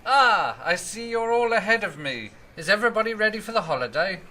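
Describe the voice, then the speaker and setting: A man's voice: high-pitched, animated, brisk, a loud crowd. A man with an English accent playing a pompous, officious department store floorwalker in a radio sitcom. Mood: pompous, brisk. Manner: pompous, formal. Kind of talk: broad radio sitcom acting. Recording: amateur radio play, clear background noise, clicks.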